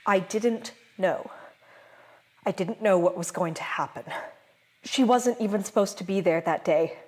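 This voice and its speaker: A woman's voice: mid-range voice, a little mellow, natural voice. Haunted woman film director confessing as acted by a woman. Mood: shaken, subdued. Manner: halting, reflective, uneasy confessional delivery. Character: guilt-ridden, haunted female film director giving testimony. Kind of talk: dramatic monologue as documentary interview.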